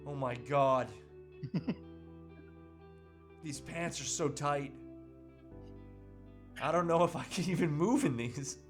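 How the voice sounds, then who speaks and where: Guy doing a overwhelmed family man, kinda high voice, hesitant, comedy table read, music behind. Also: takes it slowly, pauses often. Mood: uncomfortable. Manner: hesitant, protesting. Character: reluctant, overwhelmed family man dragged into a sleazy lifestyle.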